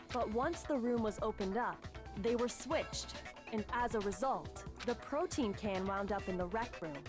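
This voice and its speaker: A woman voicing a composed, analytical teenage girl detective in an anime game, measured and precise. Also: on the high side, natural voice. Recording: music behind. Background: game music.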